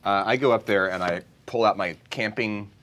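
A man at a tabletop game, his voice slightly low. He talks in a playful, halting way while describing his character's actions. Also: a bit bright. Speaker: player.

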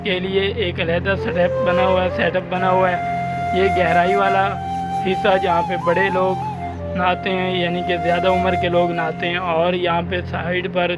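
Pakistani punjabi guy doing a village vlog, high voice, gushing and repeating himself, loud music on it. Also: completely flat delivery, moderate pace. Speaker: vlogger. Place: outdoors on a rural road and by a pool. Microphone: handheld phone at arm's length. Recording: music laid over the voice.

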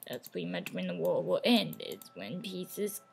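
A woman's voice: on the low side, big pitch swings, moderate pace, creaky, husky, soft background music. A young woman losing her voice, chatting casually over gameplay with rambling, half-whispered asides from a webcam at home. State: losing her voice, sore throat. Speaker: gaming channel host. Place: home room.

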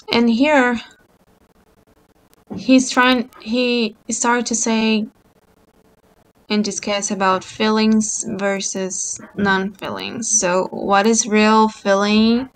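A woman whose first language is Portuguese, leading a book club discussion over a video call and reading passages aloud, with hesitant pauses and frequent ums. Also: mid-range voice, vocal fry, rough recording. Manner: slow, hesitant, many ums.

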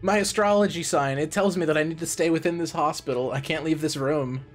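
A young man live-streaming a horror game on a headset mic, joking and cursing, with loud excited reactions. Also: a little high, fairly quick, soft background music. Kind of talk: casual live-stream commentary.

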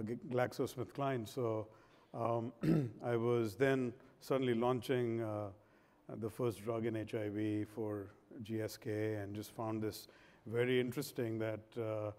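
Man in his forties from India, executive panelist, earnest and halting, lapel mic in a reverberant hall. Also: medium pitch, steady tone, darkish tone. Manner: earnest, hesitant with many fillers. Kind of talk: conference panel talk. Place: large conference stage.

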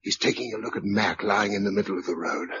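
An actor playing a resolute, urgent British survivor leading others in a radio drama. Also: male voice, lowish voice, quick pace, a bit bright, close to an everyday voice. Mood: tense, watchful. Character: sighted male survivor leading blind people, resolute, urgent. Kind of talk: British radio drama acting with first-person narration. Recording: dull, noisy old radio broadcast recording.

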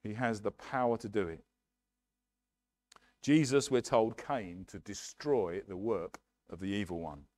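A middle-aged English man preaching with earnest emphasis, his voice fairly low. He speaks into a headset mic, and the sound is close and dry despite the hall. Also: a little slow, darkish tone. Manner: earnest, emphatic preaching with hand gestures at a lectern. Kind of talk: sermon. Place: church hall, medium size, indoors.

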